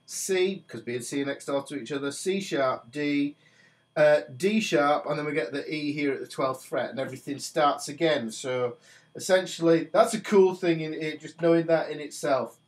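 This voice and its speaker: A man in his forties with a stuffy nose from a cold, casually teaching a guitar lesson from a small home studio while he plays. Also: on the high side. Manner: chatty, talks while playing guitar. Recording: guitar notes under the voice. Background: guitar playing.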